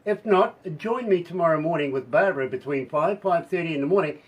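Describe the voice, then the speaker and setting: A man with a husky, somewhat hoarse voice hosts a radio interview, pitched a touch high. He gushes and chats warmly with his guest, laughing between lines. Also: expressive, brisk, low-quality recording. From New Zealand. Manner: effusive, chatty, laughs often. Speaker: radio host.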